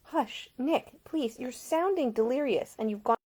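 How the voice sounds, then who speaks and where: A woman's voice: sits high, animated, measured pace, mellow, husky, voice pushed up, full character voice. Reader as a determined, caring independent woman. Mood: soothing, worried. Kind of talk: audiobook character dialogue.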